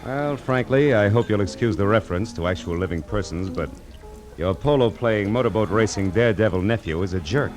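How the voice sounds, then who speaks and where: A low-voiced American noir private eye played by a man from a 1940s radio play, with music underneath. He is cynical, wry and world-weary, delivering blunt deadpan lines with a lively rise and fall. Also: a little fast, natural voice. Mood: blunt, sardonic. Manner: deadpan, sardonic, clipped. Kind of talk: 1940s radio acting, first-person noir narration.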